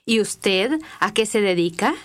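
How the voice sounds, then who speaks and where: A woman reading scripted language-course dialogue with clear, deliberate enunciation. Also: medium pitch, expressive, a bit bright.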